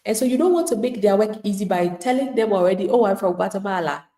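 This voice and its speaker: A woman's voice: mid-range voice, lively intonation, quick pace, dark tone, roomy sound. A woman immigration attorney giving live legal advice to viewers in an emphatic, plain-spoken way, acting out the replies of people she describes.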